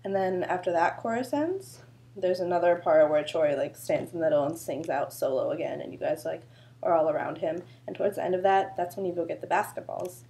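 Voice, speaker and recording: A woman's voice: on the low side, brisk, vocal fry, mellow. A woman choreographer with a sore throat, explaining a dance routine in a chatty, loose way full of fillers. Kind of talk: casual dance instruction video.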